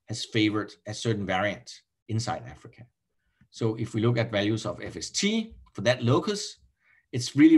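Male professor, middle-aged, Danish accent, slightly rough voice, halting explanatory online lecture delivery. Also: medium pitch. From Denmark. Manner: halting, self-correcting, repeats words. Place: indoor room, on a video call.

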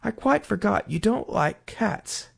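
A woman voicing a polite, eager little girl in a storybook, earnest and quick to apologise. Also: mid-range voice, animated, gravelly, breathy edge, voice pushed up, full character voice. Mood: hasty apology.

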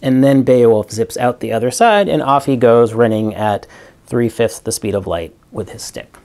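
A man's voice: medium pitch, normal speed, a little mellow. A man in his fifties, a physics professor recording a video lecture in a small room, explaining with toy props and thinking aloud with ums. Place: small room in front of a green wall.

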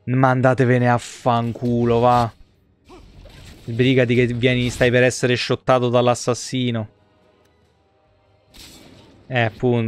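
A man commentates a game live in a clean, smooth voice. His pitch stays fairly level as he grumbles and swears casually. Music plays faintly behind him. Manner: grumbling, foul-mouthed. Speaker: video game streamer. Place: indoor room at a streaming desk.

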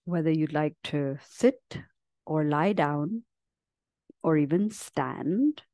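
Slow, low-pitched woman guiding meditation. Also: animated, dry recording, studio quality. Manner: gentle, unhurried with long pauses. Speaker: meditation teacher. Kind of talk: guided meditation, slow soothing instruction.

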